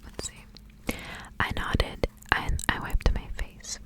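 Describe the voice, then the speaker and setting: A woman speaking in a breathy whisper in the style of an ASMR reader, softly reading and chatting. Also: takes it slowly. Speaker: ASMR reader hosting a book series. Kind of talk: whispered ASMR storybook reading with casual asides.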